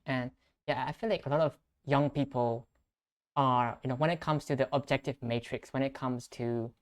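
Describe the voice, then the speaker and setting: Casting: young male podcast host, mid-range voice, measured and earnest interviewer. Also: mid-range voice, steady tone. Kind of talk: conversational interview.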